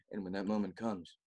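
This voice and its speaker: A man performing a theatrical comedic monologue as a pompous, melodramatic amateur writer with a husky voice and dramatic pauses. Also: fairly low pitch. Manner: smug, animated, chuckling, dramatic pauses.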